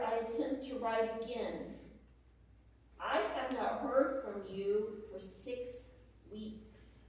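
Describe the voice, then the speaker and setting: A woman giving a slow, deliberate dramatic reading as a careworn, plainspoken Civil War soldier's wife writing letters. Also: on the high side, dark tone. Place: echoey room at a live presentation. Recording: echoey hall, distant mic, faint noise.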